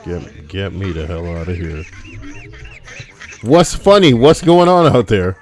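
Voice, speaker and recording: Sex: male. Role: streamer. Style: crude, rambling gaming banter. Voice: low. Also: sing-song, a little slow, a little mellow.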